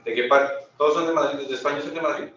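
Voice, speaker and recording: A man's voice: on the high side, steady tone, fast, fairly bright tone. A Colombian man presenting informally at a seminar, conversational and often checking in with short questions to his listeners. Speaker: seminar speaker. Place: indoor seminar room. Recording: very echoey room, distant muffled mic, faint noise.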